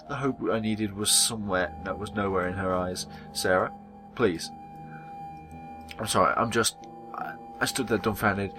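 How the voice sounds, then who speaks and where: A man hosting a gaming video, reading visual novel dialogue aloud dramatically and acting out the characters, with casual commentary. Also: lowish voice.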